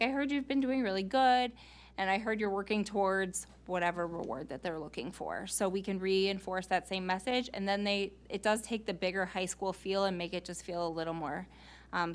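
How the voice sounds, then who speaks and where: A woman speaking as a school staff member in an informal, explanatory meeting presentation, earnest and given to rhetorical questions. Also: medium pitch.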